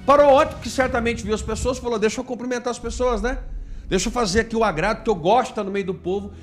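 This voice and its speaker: A man's voice: extremely high pitch, very fast, faint music behind. A man with a Brazilian accent giving informal political commentary in an indignant, emphatic, mocking way. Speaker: video commentator.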